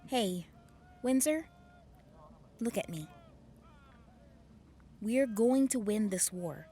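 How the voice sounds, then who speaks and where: A woman's voice: mid-range voice, lively intonation, extremely slow, warm tone, slightly rough, exaggerated voice. A woman playing a teasing, confident army officer. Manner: teasing, reassuring. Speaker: lieutenant colonel. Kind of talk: audio drama acting.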